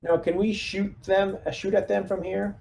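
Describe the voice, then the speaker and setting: A man's voice: high voice, little pitch movement, normal speed, vocal fry, darkish tone, a little hiss or hum, poor audio. A man chatting casually as he plays squad leader in a tabletop roleplaying game.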